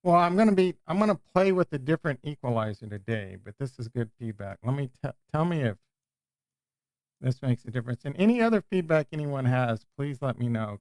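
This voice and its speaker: A man's voice: medium pitch, dry recording. A man chatting casually on a live stream, answering viewers' questions in a rambling, self-correcting way. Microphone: new desk microphone.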